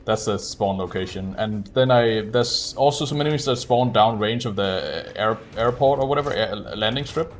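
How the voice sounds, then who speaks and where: A man giving casual live commentary on a game as a streamer, explaining things in a low-key way. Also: lively intonation, normal speed, hardly any pauses, slight background noise. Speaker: streamer speedrunner. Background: game music.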